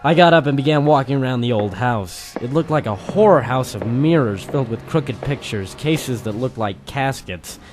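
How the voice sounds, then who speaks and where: A man's voice: mid-range voice, animated, moderate pace, few pauses, a little mellow, slight background noise, lightly acted. A man playing a hardboiled private eye, narrating dramatically and wryly in the style of an old-time noir radio drama. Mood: brooding. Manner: wry, dramatic first-person storytelling. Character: hardboiled male private eye narrating his own case.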